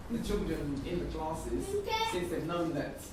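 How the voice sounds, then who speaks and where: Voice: medium pitch, a little slow. A community group leader talking informally with participants, affirming them and repeating phrases for emphasis. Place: echoey room at a community centre gathering. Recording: echoey, distant, very noisy room recording.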